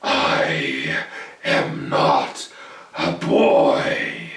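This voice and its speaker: A monstrous goblin king from a storybook, menacing and enormous. The voice drops very deep and drags each word out with booming threat. It echoes around the room, some distance from the mic. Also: huge pitch swings, gravelly, a bit bright, exaggerated voice. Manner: slow, booming, drawn-out menacing delivery. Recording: roomy, echoing, distant mic.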